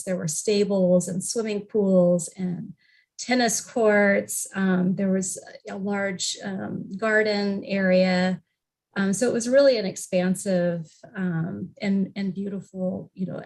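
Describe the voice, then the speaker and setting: A woman with a medium-pitched voice gives an informal historical explanation on a panel. She speaks haltingly, pausing and hesitating often between phrases. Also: fairly even intonation, a little mellow. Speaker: library representative on a panel.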